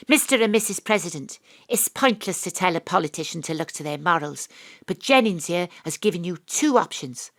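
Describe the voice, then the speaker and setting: A woman's voice: on the low side, animated, a little fast. A woman playing a wry, principled young detective with a Welsh accent, narrating and acting in an audio drama. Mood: sharp, accusing.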